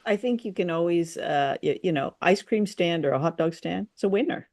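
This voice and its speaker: A woman hosting a casual podcast discussion, speaking in a measured way with some hesitation. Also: on the low side, animated, darkish tone, dry recording. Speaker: podcast host. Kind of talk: casual chat reviewing an old radio drama.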